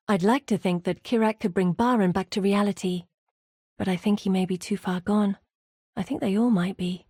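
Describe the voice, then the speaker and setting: A woman's voice: mid-range voice, mellow, breathy edge, no echo, studio quality, natural voice. An actress voicing a weary, earnest woman who leads exiled warriors in a video game, speaking in a grave, articulate manner. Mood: businesslike. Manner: measured, grave, articulate storytelling. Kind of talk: scripted video game voice acting.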